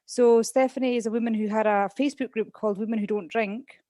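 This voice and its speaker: A woman's voice: fairly high pitch, fairly even intonation, fast, dark tone, no room sound, studio quality. Chatty Scottish woman, podcast guest. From Scotland. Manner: open, chatty, many "um"s while thinking. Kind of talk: casual interview chat.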